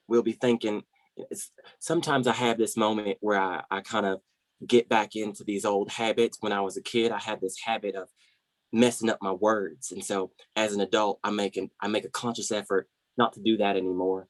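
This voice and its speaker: An actor playing an effusive, rambling, over-eager team manager, who stumbles and repeats himself, in a comedy web series. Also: male voice, medium pitch, no echo, lightly acted.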